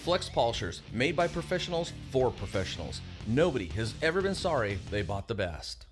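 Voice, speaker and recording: A man's voice: mid-range voice, very animated, brisk, loud music behind the voice. A man reading a scripted product commercial as an announcer. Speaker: advert announcer.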